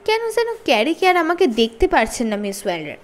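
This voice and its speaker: A woman's voice: sits high, sing-song, fast. A woman voicing an earnest, principled schoolgirl in a children's storybook reading, sincere and pleading. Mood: defensive.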